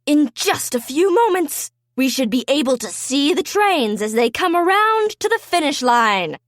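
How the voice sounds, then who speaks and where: A showy announcer calls a storybook train race, acted out by a woman. The delivery is grand and drawn out, with big swoops in a high voice. It is recorded dry. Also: big pitch swings, a little slow, dry recording. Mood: grand, excited.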